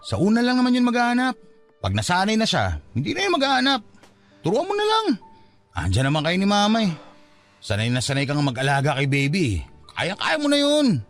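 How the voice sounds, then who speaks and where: A man's voice: high-pitched, wildly swooping pitch, brisk, faint music behind, heavily acted. An actor playing a hurt, fed-up young father in an emotional, reproachful Filipino radio drama style. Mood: frustrated, hurt. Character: hurt, fed-up young father giving up on his unrequited love.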